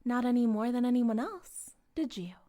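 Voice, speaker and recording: A woman playing a possessive, self-righteous cult leader in an intimate audio roleplay, cooing and condescending, sometimes breathy, speaking directly to the listener. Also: fairly high pitch, moderate pace, mellow, natural voice. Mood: condescending, persuasive. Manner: theatrical, cooing, condescending, drifting into breathy whispers.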